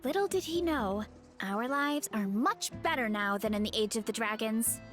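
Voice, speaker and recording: Lady doing a sweet earnest anime girl, high voice, shy and hesitant, bit of game music behind. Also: expressive, normal speed, close to an everyday voice. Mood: matter-of-fact. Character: sweet earnest young woman, modest, big-hearted big eater.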